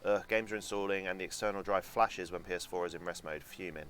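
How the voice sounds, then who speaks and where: A man's voice: low voice, fast talker, fairly bright tone, a little hiss or hum. A young man streaming a theme park game at home, chatting with his chat in a sarcastic, rambling way and swearing now and then. German is his first language. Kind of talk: casual live-stream commentary.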